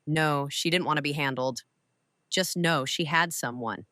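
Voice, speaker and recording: A woman playing a shrewd, composed older widow and mentor in an audio drama, speaking with deliberate authority. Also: low voice, sharp tone, no echo, studio quality. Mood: sombre, firm.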